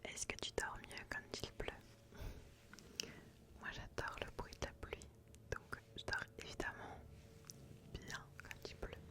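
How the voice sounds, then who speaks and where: A woman speaking in a breathy, unvoiced whisper close to the microphone, gently asking questions in a soothing, repetitive ASMR style. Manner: slow, soothing, repeats words and phrases. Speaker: ASMR host. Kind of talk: ASMR personality-test roleplay, intimate questioning.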